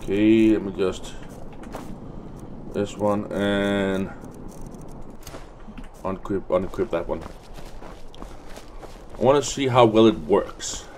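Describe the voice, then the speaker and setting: Male let's play host, unhurried and muttering, casual commentary, light background game noise. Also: fairly low pitch, darkish tone. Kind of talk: casual gameplay commentary, thinking aloud.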